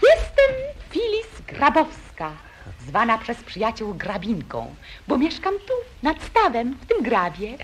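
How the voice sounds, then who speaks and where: A woman's voice: a little high, wildly swooping pitch, slow, slight background noise, exaggerated voice. A woman playing a playful, teasing young nymph in a 1940s radio play, with a lilting, theatrical delivery.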